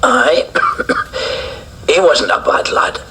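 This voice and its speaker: A man's voice: slow, sharp tone, low-quality recording. An actor playing a confused older man with memory gaps, who rambles and coughs between words. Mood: vague, wistful.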